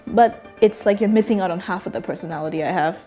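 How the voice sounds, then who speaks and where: A woman's voice: mid-range voice, quick pace, warm tone, soft background music. A nineteen-year-old woman from Myanmar, a native Burmese speaker, speaking candidly in a personal interview with hesitant restarts. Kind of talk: personal testimony.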